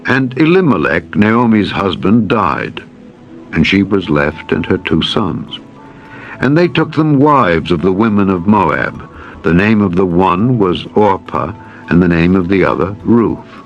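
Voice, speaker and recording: Deep-voiced man solemnly narrating scripture over music. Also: expressive, unhurried. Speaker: audiobook narrator. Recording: dull lo-fi sound with music. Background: background music.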